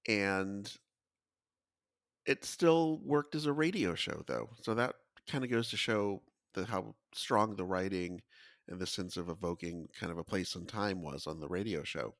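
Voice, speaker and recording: Really low-voiced guy explaining stuff on a podcast, super dry audio. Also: gravelly, a bit bright, dry recording. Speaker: podcast panelist.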